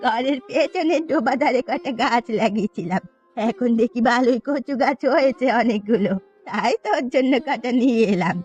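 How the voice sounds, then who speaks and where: A woman's voice: fairly high pitch, animated, normal speed, darkish tone, dull audio, soft background music, close to an everyday voice. A woman voicing an affectionate but scolding elderly village aunt in a cartoon story, with homely rustic speech.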